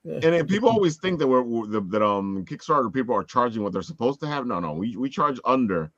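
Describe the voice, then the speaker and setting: A man's voice: mid-range voice, sing-song, quick pace, a bit bright, no room sound, highs cut off. A man with a strong voice hosting a casual live chat over a video call. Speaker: podcast host.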